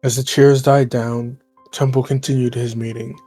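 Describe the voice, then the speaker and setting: Mellow, even-voiced man narrating a story. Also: medium pitch, normal speed, husky, faint music behind. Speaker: roleplay narrator. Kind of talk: story narration in an online roleplay game.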